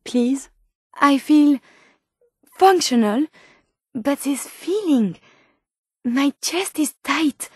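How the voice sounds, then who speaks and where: A woman's voice: sits high, lively intonation, very slow, long pauses, darkish tone, no echo, clean studio sound, full character voice. A woman with a French accent voicing a polite, earnest female robot scientist in a video game, speaking formally and precisely. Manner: formal, precise, courteous phrasing without contractions. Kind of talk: video game character dialogue.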